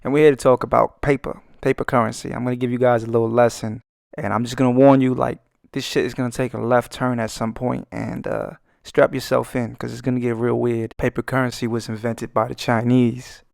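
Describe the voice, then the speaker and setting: A man's voice: medium pitch, flat delivery, fairly quick, creaky, darkish tone, no room sound, studio quality. A young man with a Brooklyn accent giving swaggering, profane, joking commentary while he draws. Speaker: artist and channel host. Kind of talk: rambling comedic commentary over a speedpaint.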